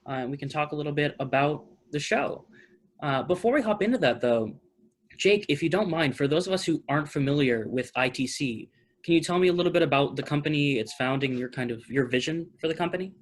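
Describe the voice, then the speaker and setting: A man's voice: fairly high pitch, lively intonation, fairly quick. A man hosting an informal post-show talk in a polite, hesitant conversational way. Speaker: talkback host. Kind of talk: informal moderated talkback.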